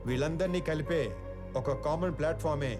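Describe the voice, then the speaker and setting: An actor playing an earnest, demanding company boss, formal and firm, in a film drama. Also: male voice, fairly high pitch, fairly quick, loud music behind the voice, natural voice. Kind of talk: film drama dialogue and speech. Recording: loud film score over dialogue.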